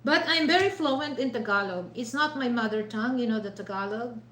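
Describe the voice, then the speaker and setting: Filipino woman, higher voice, casual dinner livestream chat, lots of fillers, echoey room. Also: lively intonation, fairly quick, a bit bright, slight background noise. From Philippines. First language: Mandaya. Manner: rambling, many "you know" fillers. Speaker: livestream host. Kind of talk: casual chat while eating.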